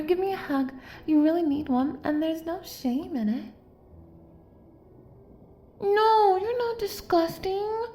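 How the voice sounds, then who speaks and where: A woman's voice: very high voice, mellow, roomy sound. A woman playing an obsessive, doting young female kidnapper in an intimate roleplay audio, speaking straight to the listener in a cutesy, gushing, coaxing way.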